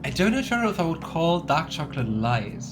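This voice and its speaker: A woman's voice: deep voice, big pitch swings, fairly quick. A woman chatting casually and playfully as she livestreams a video game. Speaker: streamer playing and voicing a video game. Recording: game music behind the voice.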